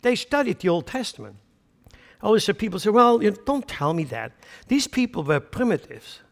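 A man's voice: high voice, big pitch swings, fairly quick, gravelly, long reverb tail. A man from central Switzerland with a Swiss German accent, preaching a sermon with emphatic rhetorical questions. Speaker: pastor.

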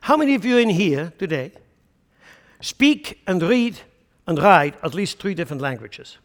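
A man's voice: sits high, big pitch swings, moderate pace, big-room sound. A man from central Switzerland with a Swiss German accent, preaching a sermon with emphatic rhetorical questions. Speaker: pastor.

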